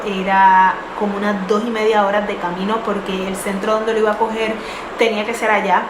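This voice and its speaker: A young woman in her twenties earnestly telling a personal story, with many fillers and restarts. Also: medium pitch. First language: not English. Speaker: vlogger. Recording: echoey room with noise.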